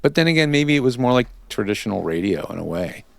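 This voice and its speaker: A man's voice: medium pitch, sing-song, quick pace, vocal fry, no room sound. A man hosting a casual interview, chatting in a rambling way that drifts into tangents. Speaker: podcast host and interviewer.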